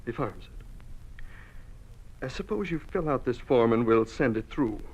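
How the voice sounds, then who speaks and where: An actor playing a formal, officious bureaucrat in an old-time radio drama, measured and coolly questioning. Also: male voice, medium pitch, animated, slightly rough. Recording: dull, band-limited old radio recording.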